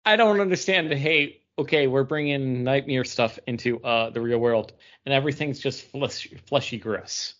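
A man's voice: medium pitch, moderate pace, few pauses, fairly bright tone. A man co-hosting a podcast, talking in a casual, conversational way. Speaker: comics podcast co-host. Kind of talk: casual discussion. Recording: dull lo-fi audio.

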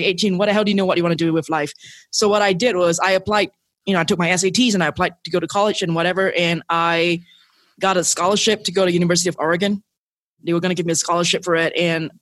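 A woman's voice: lowish voice, no echo. A woman from Singapore chatting informally and telling her story as a podcast guest. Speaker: podcast guest, marketing agency founder. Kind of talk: casual animated storytelling.

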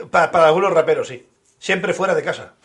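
A man's voice: on the high side, measured pace, fairly bright tone, slightly rough. A man co-hosting a podcast, teasing his partner and laughing often. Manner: teasing, laughs a lot, swears. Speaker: podcast co-host. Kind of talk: casual banter.

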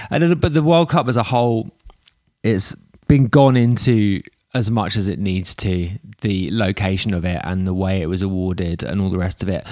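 A man with an English accent hosting a podcast, slightly hoarse, rambling casually with restarts and fillers. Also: on the low side, a little mellow, no echo, clean studio sound. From England. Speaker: podcast host. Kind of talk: casual monologue intro.

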